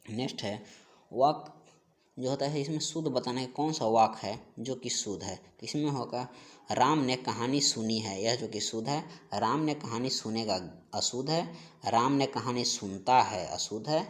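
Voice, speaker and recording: A man's voice: medium pitch, normal speed. A man teaching an exam-prep grammar lesson, going through questions and answers and repeating each answer in an instructional way.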